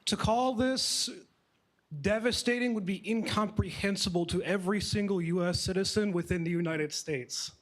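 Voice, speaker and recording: A local man takes the microphone in a conference hall. His voice is a little high for a man. He speaks earnestly, with a nervous edge. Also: moderate pace, a little hiss or hum. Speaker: local resident speaking at a forum.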